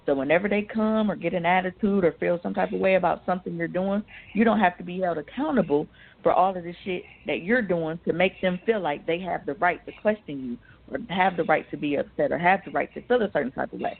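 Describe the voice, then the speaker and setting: A woman's voice: fairly low pitch, gravelly. A woman co-hosting a casual discussion, speaking in an assertive, outspoken and slangy way. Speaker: radio show co-host. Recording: tinny, band-limited phone-line sound.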